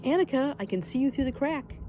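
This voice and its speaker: A woman voicing a bold, carefree, mischievous young girl with loud, playful declarations in a storybook reading. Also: high-pitched, expressive, fairly quick, slight background noise, pitched above the performer's own voice. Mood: teasing, cheerful.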